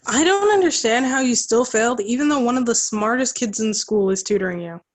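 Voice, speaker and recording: A woman's voice: fairly high pitch, animated, a little fast. A young woman acting in a play as a sarcastic teenage girl who talks to her friend bluntly and dryly. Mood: baffled. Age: young adult. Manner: blunt, dry. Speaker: student actor in a school play. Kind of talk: one-act play acting.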